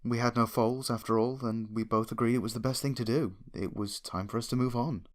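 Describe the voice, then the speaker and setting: A man's voice: medium pitch, fairly even intonation, a little fast, natural voice. A man reads a weary male pony clerk in a story. He is formal, subdued and reserved.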